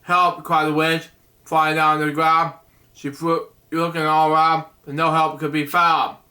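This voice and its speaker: A man reads a children's picture book aloud at a slow, unhurried pace. His voice sits a little high and sounds bright and forward. He often stumbles, restarts and breaks off into chatty asides to the listener. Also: completely flat delivery. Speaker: storyteller reading a picture book. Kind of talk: reading a bedtime story aloud with rambling asides.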